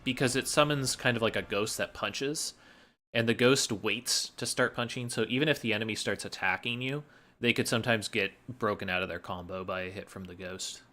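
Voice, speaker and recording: A man's voice: fairly low pitch, brisk, bright, forceful tone. A man giving explanatory gameplay commentary as a speedrunner, analytical and matter-of-fact.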